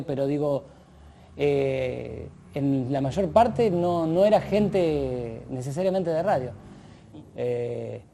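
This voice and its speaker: A man with an Argentine Spanish accent talking analytically and informally in an interview, with hesitant pauses and quieter asides. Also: mid-range voice, vocal fry. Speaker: radio drama commentator.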